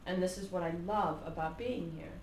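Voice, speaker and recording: A woman's voice: on the low side, a little fast, creaky. A woman in her thirties from Kuwait with Arabic as her first language, chatting as a podcast guest, telling stories with lots of fillers and frequent laughs. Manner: animated storyteller, laughs often, many fillers. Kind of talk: casual conversation. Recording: echoey room with faint noise.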